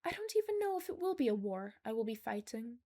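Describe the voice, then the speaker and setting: A woman's voice: fairly high pitch, big pitch swings, fast talker, warm tone. A woman voicing an earnest young male analyst in training, candid and inquisitive, in an audiobook. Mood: confessional. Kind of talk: audiobook character dialogue.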